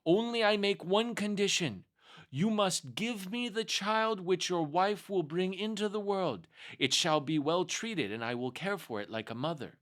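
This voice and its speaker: A man voicing a stern, commanding female enchantress in a storybook, imperious and deliberate in manner. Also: sits high, lively intonation, a bit bright, voice pushed up. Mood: stern, bargaining.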